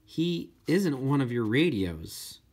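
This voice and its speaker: A gentle brother in an audiobook, acted by a man, measured and careful as he reassures. The voice is mid-pitched and husky. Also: sing-song, heavily acted. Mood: gently reasoning.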